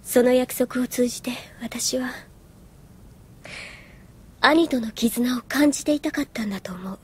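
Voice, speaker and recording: An actress playing an earnest, reserved young woman soldier in an anime audio drama, composed and soft-spoken. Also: a little high, measured pace, slightly rough. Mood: reflective. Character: earnest, reserved young female soldier recalling her past. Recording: music behind the voice.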